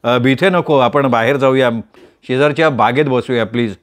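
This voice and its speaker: A man voicing a shy, earnest young man in love, talking in a hesitant, low-key way in a read-aloud story. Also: normal speed, lightly acted. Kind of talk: storytelling with voiced characters.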